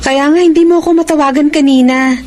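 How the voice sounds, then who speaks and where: A woman's voice: sits high, steady tone, fast, a little mellow, close to an everyday voice. An actress playing Jenny, a restless young working woman with a secret male friend, in a Filipino radio drama. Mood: defensive, casual. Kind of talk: Filipino radio drama acting and letter narration. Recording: music bed or vehicle noise behind.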